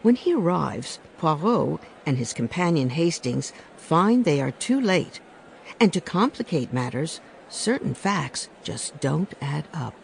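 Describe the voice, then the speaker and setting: Animated, creaky male announcer. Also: fairly high pitch, unhurried. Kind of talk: scripted programme introduction. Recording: clear background noise and ambience.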